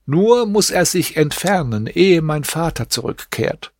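Male narrator as a soft-spoken young lady, high and unhurried. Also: big pitch swings, dry recording, clean studio sound, exaggerated voice. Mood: gentle. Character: sheltered young woman, proud but tender. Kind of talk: audiobook reading.

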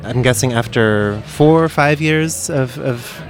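A man hosting a live radio interview in English, chatty and quick to laugh. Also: mid-range voice. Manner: friendly, chatty, laughs often. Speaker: radio host.

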